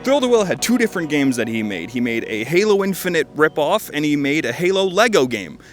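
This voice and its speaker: A man's voice: on the high side, big pitch swings, fairly quick, music in the background. A man giving casual, rambling video commentary as he reviews games, with dry joking asides and frequent self-interruptions. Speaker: video creator reviewing fan-made games.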